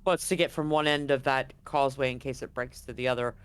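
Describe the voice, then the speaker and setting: A man's voice: mid-range voice, fairly even intonation, fast talker, fairly bright tone, clean tone. A man running a tabletop role-playing game as game master, narrating scenes and options in a casual, conversational way.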